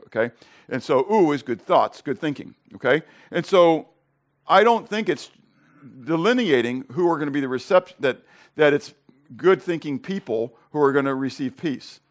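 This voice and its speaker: A middle-aged male pastor preaching a sermon with Greek word study, conversational and peppered with "okay?" and rhetorical questions, rising louder on key points. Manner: rises louder and higher on emphasis.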